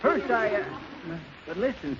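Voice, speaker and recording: A man's voice: sits high, animated, measured pace. An actor playing a cocky, bumbling married man with folksy, ungrammatical talk in a 1930s radio comedy. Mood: blustering, unsure. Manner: ungrammatical folksy talk, stammering bluster, chuckling. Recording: noisy muffled 1930s radio recording.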